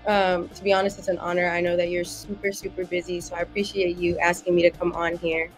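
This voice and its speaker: Young female interview guest from Massachusetts, lowish pitch, casual and wordy storyteller. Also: completely flat delivery, quick pace, faint music behind, low-quality recording. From Massachusetts, United States. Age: young adult. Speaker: podcast guest.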